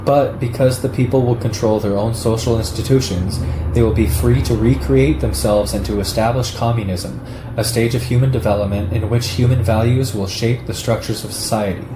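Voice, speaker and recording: A man reading a political text aloud in a measured, declarative way, like an audiobook. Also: medium pitch, fairly even intonation. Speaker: reader of a political essay. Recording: echoey room with clear background noise.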